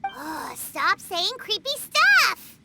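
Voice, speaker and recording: A woman voicing a tiny childlike female companion in a video game, squeaky, blunt and indignant. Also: huge pitch swings, drawn-out words, creaky, very noisy, exaggerated voice. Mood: whiny, indignant. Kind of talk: anime-style video game dialogue. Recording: loud music under the voice.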